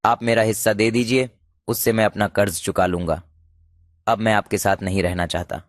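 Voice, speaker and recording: A man plays a stubborn younger brother and doting uncle in a cartoon. He talks low and blunt, with dry, close sound. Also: steady tone, moderate pace, no echo, natural voice. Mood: defiant. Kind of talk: cartoon voice acting.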